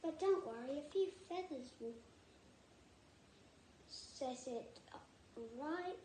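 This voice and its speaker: A woman's voice: high-pitched, animated, slow, mellow. A woman voicing a graceful female emu from a storybook, airy and sighing, in an echoey room. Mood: coy. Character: graceful, fluttery female emu offering advice. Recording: echoey room with faint noise.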